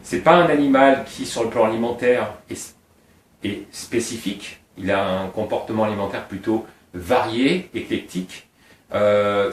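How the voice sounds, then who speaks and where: A middle-aged man from Réunion Island, a snake breeder, talking informally and at length, piling up details as he explains his animals. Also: medium pitch. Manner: talkative, digressive, piles up details. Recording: roomy sound from a distance.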